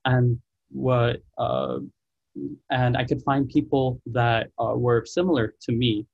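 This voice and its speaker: A man's voice: mid-range voice, fairly even intonation, takes it slowly, creaky, slightly rough, no room sound, dull audio. A young man born in Trinidad, a student guest talking earnestly on a video call from home, often restarting his sentences. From Trinidad and Tobago. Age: young adult. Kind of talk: online panel Q&A.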